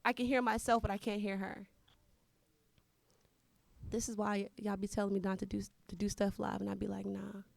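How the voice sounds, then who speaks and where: A woman's voice: medium pitch, flat delivery, fairly quick, gravelly, pauses often, dry recording. An American woman hosting a casual live interview, chatting in an informal, colloquial way. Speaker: talk show host.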